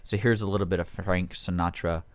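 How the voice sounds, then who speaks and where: A man's voice: sits low, fairly even intonation, fairly quick, dry recording. Teasing male radio co-host, dry close sound. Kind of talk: casual joking radio banter. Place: radio studio.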